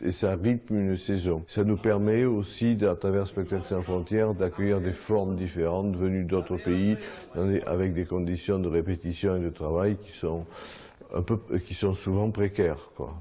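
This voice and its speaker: A man's voice: low-pitched, fairly even intonation, moderate pace, dark tone. A man, a theatre director answering interview questions plainly, with hesitant starts.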